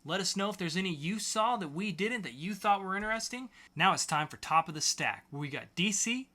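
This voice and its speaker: A man's voice: sits high, expressive, a little fast, pushed and bright. A man co-hosting a podcast, presenting segments and comic book reviews in a casual, chatty style. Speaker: podcast co-host.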